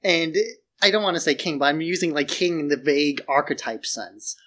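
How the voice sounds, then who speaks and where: A man with a slightly high voice co-hosts a casual film podcast. He thinks aloud in an informal, musing way, peppering his sentences with "like" as he works through a point. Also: a little fast, sharp tone. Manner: informal, musing, says "like" often. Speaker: podcast co-host.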